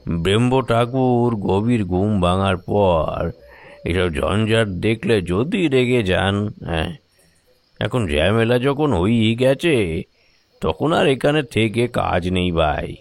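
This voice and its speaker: A man playing a cautious, grumbling old man with a gravelly voice in a horror audio drama. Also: on the low side, animated, slow, no room sound, exaggerated voice. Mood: cautious, worried. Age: older.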